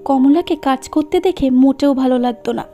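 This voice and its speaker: High, mellow woman storyteller. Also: fairly quick, faint music behind. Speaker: narrator. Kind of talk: scripted cartoon story narration.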